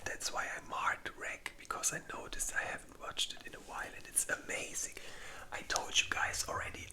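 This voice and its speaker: Very bright, breathy ASMR whisper. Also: rough recording. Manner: informal, hesitant, thinking aloud. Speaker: ASMR video creator and host. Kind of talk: whispered ASMR, casual rambling chat.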